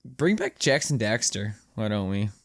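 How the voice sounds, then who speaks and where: A young man co-hosting a casual podcast, talking bluntly with swearing and repeated, halting starts. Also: fairly low pitch, very animated, no echo. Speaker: podcast co-host. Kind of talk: casual chat.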